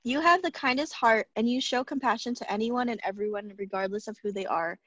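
A young woman reads aloud in a casual way, her voice fairly high. She is indoors on a lo-fi video call, with a dry sound and little room around it. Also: fairly quick, a bit bright, no echo. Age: young adult. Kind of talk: reading tributes aloud, casual. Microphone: video call laptop mic. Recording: dull, lo-fi video call audio.

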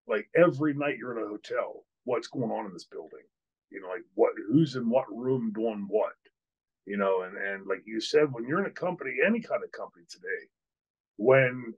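Husky-voiced older man telling a story. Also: mid-range voice, rough recording. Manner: candid, outraged, many fillers and restarts. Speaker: podcast host, former wrestler. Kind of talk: storytelling in an interview. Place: hotel room, indoors. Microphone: video call, webcam.

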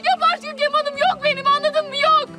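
An actress playing a fiery, quick-tempered young woman violinist in a TV drama, shouting and scolding. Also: very high-pitched, fairly even intonation, music in the background. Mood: furious.

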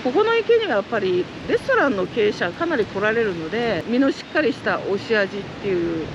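A woman's voice: mid-range voice, brisk, lo-fi sound, background noise. A middle-aged woman who runs a trout farm, talking earnestly and chattily outdoors beside fish ponds in an interview. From Japan. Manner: lively, chatty and earnest, with many fillers.

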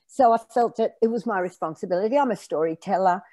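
A woman's voice: mid-range voice, quick pace, no echo. A woman around seventy, French as her first language, telling her family's story as a guest on a video call interview from her home. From Australia. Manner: animated, gestures while telling stories. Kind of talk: conversational interview. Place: home room, indoors.

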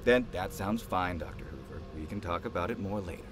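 An actor playing an eager, practical male engineer in an audio drama, with a polite, animated manner. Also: sits low, a little fast, lightly acted. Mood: polite, keen. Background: music.

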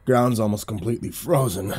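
A man's voice: on the low side, fairly quick, a little mellow, dry recording. A man doing a grumbling, sullen gravedigger. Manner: grumbling, gruff.

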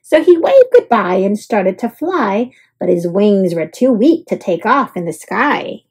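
A woman reading a children's picture book aloud as an expressive storyteller. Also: mid-range voice, a little slow, breathy edge.

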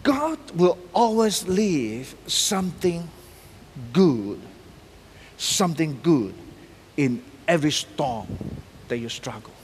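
A middle-aged male pastor preaching with a high, lively voice that leaps up and drops low for emphasis. He speaks slowly and deliberately, hammering single words again and again. The sound carries a long reverb from a large church hall. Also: a little hiss or hum. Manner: emphatic, repeats key words, pacing and gesturing widely. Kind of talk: sermon. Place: large church stage decorated for Christmas.

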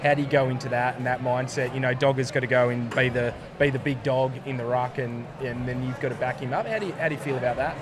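An Australian man co-hosting a post-game podcast, asking upbeat questions at a boom mic. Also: steady tone, fast, few pauses. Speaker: podcast co-host. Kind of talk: casual post-game interview. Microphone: boom mic on arm, headphones. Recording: noisy busy room.

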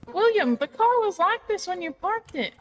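A woman's voice: extremely high pitch, sing-song, normal speed. A woman bantering casually as a paramedic partner in an online roleplay game.

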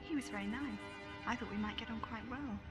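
A woman's voice: a little high, a little fast, over music, lightly acted. A woman playing a weary, naive immigrant in a science fiction audio drama, hesitant and plaintive. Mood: naive, wistful.

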